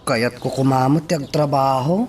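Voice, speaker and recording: A man's voice: medium pitch, moderate pace, slight background noise, natural voice. A man playing an eager young man in a radio drama. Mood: eager, insistent. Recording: loud music under the voice.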